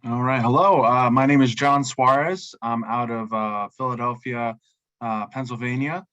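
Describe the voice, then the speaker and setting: A man with a mid-range voice introducing himself on a video call, friendly and a little halting. The sound is dry and close, with no room around it. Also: mid-range voice, fairly even intonation, a bit bright, no room sound, highs cut off. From Colombia, raised in the US.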